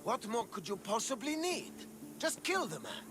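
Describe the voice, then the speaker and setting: High-voiced, jealous lovesick game character. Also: male voice, very animated, normal speed, over music. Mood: scornful. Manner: haughty, defensive, sneering. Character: jealous, lovesick young man giving mercenary orders. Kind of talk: video game dialogue acting.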